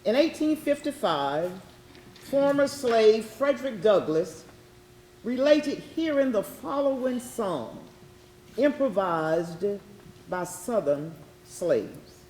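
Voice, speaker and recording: A woman reading scripted educational narration in a measured, deliberate way. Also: on the high side, very animated, a little mellow. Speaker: readers theatre narrator. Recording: echoey room with faint noise.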